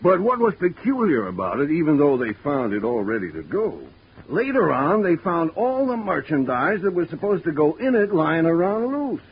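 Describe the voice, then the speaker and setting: A man's voice: fairly high pitch, sing-song, moderate pace, a little hiss or hum. An American actor playing a fussy, soothing, long-winded shipping foreman in a comic 1940s radio drama, dithering and drawing out his answers. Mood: placating, dithering. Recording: dull vintage 1940s radio broadcast.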